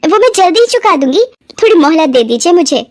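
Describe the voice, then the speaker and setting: A woman's voice: very high voice, very animated, fast talker, no room sound, clean studio sound, voice pushed up. A woman voicing a stepmother in a moral story, bossy and resentful, who dotes on her son. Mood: pleading. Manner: scolding, bossy.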